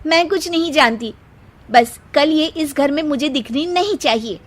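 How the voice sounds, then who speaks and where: A woman's voice: high-pitched, measured pace, pitched above the performer's own voice. A woman voicing a scheming young wife in a cartoon moral story, sweet-talking and complaining by turns. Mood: nagging, complaining. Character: scheming, sugary, whiny young wife and sister-in-law.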